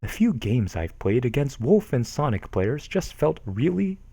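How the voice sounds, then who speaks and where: A man's voice: medium pitch, sing-song, unhurried, vocal fry, mellow, slightly rough, dry recording, studio quality. A young man reading online posts aloud to viewers, with amused, chuckling asides in between. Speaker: streamer reading forum posts.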